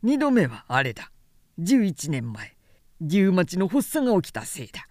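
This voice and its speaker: A woman voicing a busy, gruff older businessman in a storybook reading, preoccupied and brusque. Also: fairly low pitch, very animated, moderate pace, a little mellow, no echo, studio quality. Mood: irritated. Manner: brusque, preoccupied, muttering numbers.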